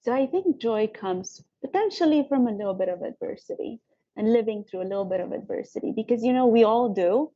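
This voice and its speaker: A woman from Romania, telling personal stories on a panel in an animated way. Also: a little high, fairly quick, muffled recording. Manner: warm, animated storytelling with frequent laughs. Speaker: company founder on a panel.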